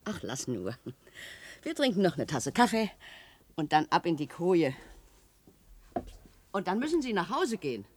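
An actor in a German radio play, playing a calm, hospitable, easygoing underground co-worker. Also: male voice, high voice, unhurried, pauses often, a little hiss or hum, full character voice. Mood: soothing. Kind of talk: German radio drama acting.

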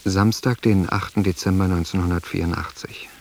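An actor in a radio drama playing a brooding grown son who reads his diary in a sombre, introspective, measured way. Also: low voice, fairly even intonation, soft background music.

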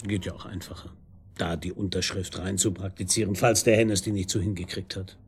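An actor in a German radio drama playing a wry, sardonic chief detective who questions in a colloquial, insinuating way. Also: male voice, low-pitched, steady tone, a little fast, breathy edge, full character voice. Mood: needling. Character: wry, probing, needling male chief police detective.

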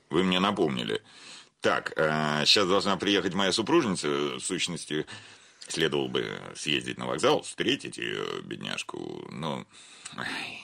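An actor playing a pompous, jittery, sentimental bank director in a radio play, with a fussy, hesitant manner. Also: male voice, a bass voice, gravelly, sharp tone, heavily acted. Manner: fussy, self-important, hesitant. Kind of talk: theatrical radio play of a classic comedy.

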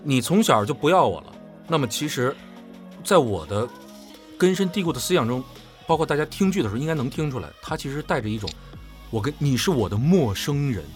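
Expressive man explaining over music. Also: mid-range voice, fairly quick. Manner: emphatic, storytelling with repeated phrases. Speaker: panelist analysing drama characters. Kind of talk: casual explanatory panel chat.

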